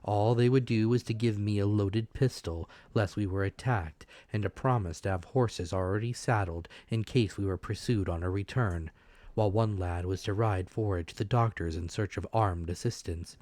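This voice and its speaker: Male audiobook narrator, lower-pitched, measured and expressive dramatic storytelling, very dry recording. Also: no room sound. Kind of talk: dramatic scripted narration of a classic adventure story.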